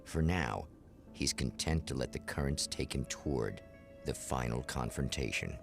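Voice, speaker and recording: A solemn narrator of an old-style mystery TV show, very low in pitch. He is portentous and unhurried, with dramatic swells in his intonation. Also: animated, vocal fry, over music, heavily acted. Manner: portentous, measured. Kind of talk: dramatic scripted narration, vintage mystery TV pastiche.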